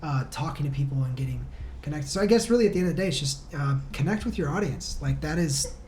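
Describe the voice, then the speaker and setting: A man's voice: a little high, expressive, quick pace, a little mellow, slight background noise. A man speaking as a podcast guest in casual chat, explaining things earnestly with some slang. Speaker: band member, podcast guest.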